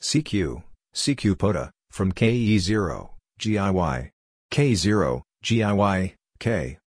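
A man reading out short scripted radio practice phrases in a measured, clipped way, one piece at a time. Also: low-pitched, big pitch swings, no echo, studio quality. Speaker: narrator of Morse code practice phrases. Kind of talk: scripted phrase readout for a lesson.

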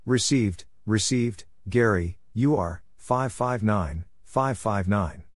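Slow, low-pitched man reading practice exchanges. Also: lively intonation, no echo. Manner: measured, spelling out call signs and numbers. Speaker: Morse code practice narrator. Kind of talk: reading radio contact exchanges aloud for practice.

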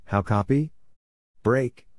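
A man reading out radio practice messages slowly in short, clipped phrases, as an instructor. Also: medium pitch, a little mellow, a little hiss or hum. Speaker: Morse code practice instructor.

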